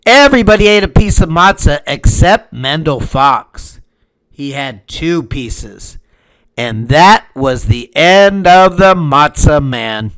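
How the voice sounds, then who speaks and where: Guy reading a picture book to kids, really unhurried, slightly high voice, expressive and deliberate. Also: clear, resonant tone, poor audio. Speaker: read-aloud storyteller and host. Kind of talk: expressive children's storybook narration.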